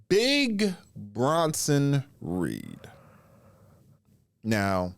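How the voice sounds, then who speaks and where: Congested man giving lively wrestling commentary. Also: lowish voice, clean tone, dry recording. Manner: animated, drawn-out pauses between phrases. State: congested, getting over a cold. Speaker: wrestling podcast host. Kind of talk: casual solo commentary.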